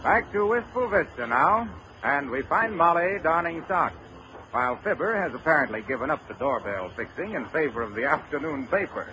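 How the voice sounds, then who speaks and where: A man's voice: fairly high pitch, lively intonation, normal speed. A man announcing a radio comedy in a scripted 1930s narration style. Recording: muffled, noisy old radio recording.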